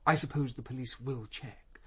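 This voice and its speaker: A medium-pitched barrister in a radio crime drama. He is sardonic and quick to indignation, biting and articulate in his impatience. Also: steady tone, pushed and bright, husky. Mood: defensive, sardonic.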